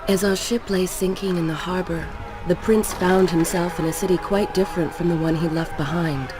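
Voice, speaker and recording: An actress playing a solemn, wistful female storyteller who narrates a fantasy game in formal, measured phrases. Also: fairly low pitch, steady tone, close to an everyday voice. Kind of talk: scripted dramatic story narration. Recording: loud music behind the voice. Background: game soundtrack music.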